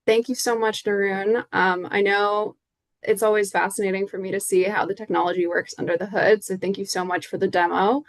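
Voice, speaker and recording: A woman moderating a webinar panel, thanking one speaker and briskly handing over to the next. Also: mid-range voice, steady tone, dry recording.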